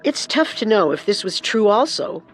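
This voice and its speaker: Female true-crime narrator, medium pitch. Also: big pitch swings, normal speed, faint music behind, poor audio.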